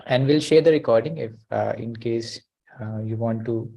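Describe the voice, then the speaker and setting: A man's voice: medium pitch, normal speed, gravelly, warm tone. A man hosting an online class over a video call. He talks hesitantly, with many uh and you know.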